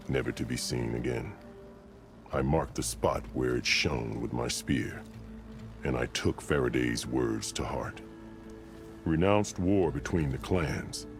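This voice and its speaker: Very deep gravelly warrior chief. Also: male voice. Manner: solemn, formal, measured, declarative. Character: stern, proud, commanding warrior chief of a tribe. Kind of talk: dramatic video game acting. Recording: loud music behind the voice.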